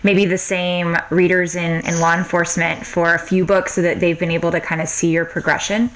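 Low-voiced woman podcast host. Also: level tone, low-quality recording. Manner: friendly, quick encouraging reactions. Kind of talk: interviewing.

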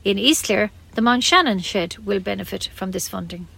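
A woman's voice: medium pitch, moderate pace, creaky, pushed and bright, slightly rough. A woman with an Irish accent reading scripted local news as a community radio newsreader.

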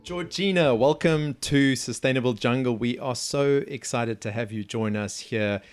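Podcast co-host: man, average pitch, friendly interviewing style. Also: normal speed, clear, resonant tone, faint music behind.

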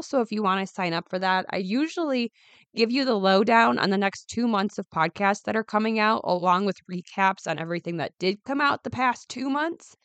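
A woman in her twenties hosting her own podcast in a casual, chatty way, her voice still raspy from being unwell. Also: mid-range voice, no echo. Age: 20s. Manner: chatty, upbeat, many ums and asides. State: raspy, recovering voice. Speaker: podcast host. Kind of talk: casual solo podcast chat.

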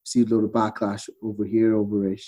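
A man's voice: fairly low pitch, completely flat delivery, quick pace, dark tone. A man with an Irish accent, chatting casually as a podcast guest and retelling events with quoted bits of dialogue. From Ireland. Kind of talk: casual chat, storytelling.

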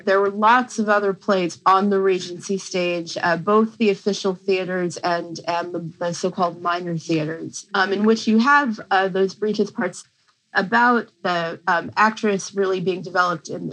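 A woman's voice: on the low side, steady tone, moderate pace, a bit bright, poor audio. A woman professor giving a conversational academic explanation as an interview guest, with informal asides.

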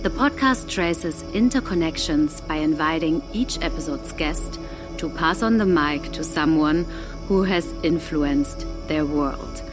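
Casting: slow, deep-voiced female announcer, intro read over a music bed. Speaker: podcast announcer.

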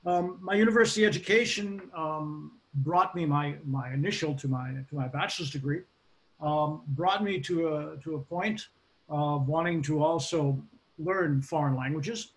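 Older Bostonian man, slightly high voice. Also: low-quality recording. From Boston, United States. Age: 60s. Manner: measured and hesitant, many ums and restarts. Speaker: guest, occupational safety expert. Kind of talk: casual interview.

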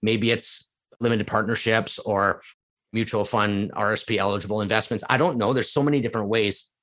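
A middle-aged man from Canada, a coach being interviewed, talking emphatically with rhetorical questions and swings between excited and quiet reflection. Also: fairly low pitch, fairly quick. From Canada (Edmonton). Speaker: real estate coach, guest. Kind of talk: casual podcast interview.

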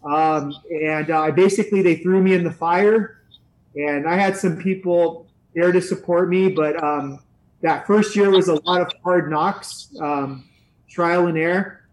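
A middle-aged man with a notably high voice tells his story in a loose, rambling way. He works as a Spanish teacher and grew up in the San Francisco area. He pauses often and fills gaps with "um" and "uh.". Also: slight background noise, poor audio. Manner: rambling, many fillers and restarts. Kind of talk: casual interview answers.

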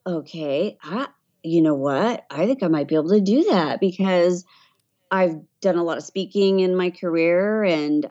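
A woman's voice: medium pitch, a little mellow, lo-fi sound. A middle-aged woman, chatting as an interview guest and telling her story conversationally. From Tennessee, USA. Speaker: interview guest, voiceover artist.

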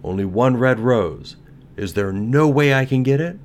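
A man's voice: low-pitched, measured pace, slight background noise. A man voicing a small female nightingale, earnest and tender, in a storybook telling. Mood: urgent, brave.

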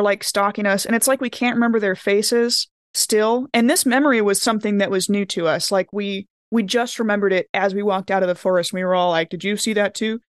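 Woman as worried, outspoken investigator in a tabletop game; clean smooth voice, informal and halting, dry recording. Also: mid-range voice, no room sound, natural voice. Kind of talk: improvised in-character tabletop dialogue.

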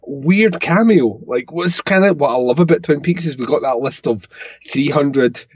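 A slightly hoarse man talks over a home microphone in loose, rambling podcast chat, often trailing off into a soft laugh. The sound is dry and a little dull, as if lo-fi. He speaks at an ordinary middle pitch. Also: normal speed, no echo. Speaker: podcast co-host. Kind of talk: casual chat about TV. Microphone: better home microphone.

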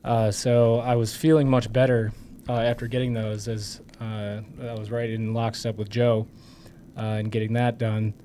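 A man co-hosting a casual podcast, his voice still hoarse, talking in a joking, rambling way with many fillers. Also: mid-range voice, level tone, moderate pace, dark tone, slight background noise. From Michigan. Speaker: podcast co-host. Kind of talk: casual political podcast chat.

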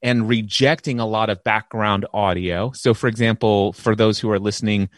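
Podcast guest, male, loud powerful voice, eager explainer, dry close-miked sound. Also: on the low side, fairly even intonation, normal speed, no room sound. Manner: enthusiastic, explains gear in detail. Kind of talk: casual podcast conversation. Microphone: close dynamic microphone.

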